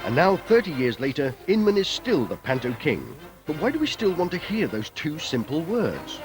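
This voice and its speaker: A British male documentary narrator with very animated intonation and a playful, cheeky delivery. He reads scripted lines over clear background music on a VHS transfer. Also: on the high side, moderate pace. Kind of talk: light-hearted scripted narration.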